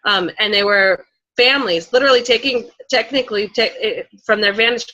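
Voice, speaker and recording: A woman on a panel talking personally and conversationally, with emphatic, halting restarts. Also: medium pitch, pushed and bright, a little hiss or hum, low-quality recording.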